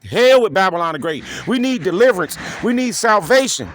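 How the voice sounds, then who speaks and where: A man's voice: high voice, big pitch swings, fairly quick, a bit bright, a little hiss or hum. A man preaching in a profane, taunting rant, repeating a rhetorical question again and again. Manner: repetitive and taunting, keeps asking "you see?". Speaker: street preacher.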